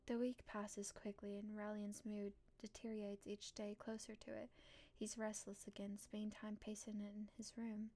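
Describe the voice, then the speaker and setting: A woman's voice: medium pitch, flat delivery, moderate pace, warm tone. A woman streamer reading a visual novel aloud and reacting casually as she goes.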